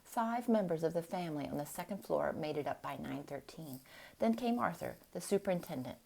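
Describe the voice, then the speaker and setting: A woman reading a storybook aloud in an expressive style, with deliberate, dramatic phrasing. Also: deep voice, gravelly. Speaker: storybook reader.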